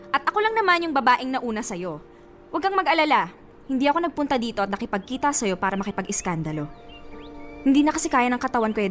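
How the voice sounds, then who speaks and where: An actress playing a heartbroken young woman in a radio drama, speaking steadily and with quiet edge. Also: a little high. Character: heartbroken young woman, calm but wounded. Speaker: lead character.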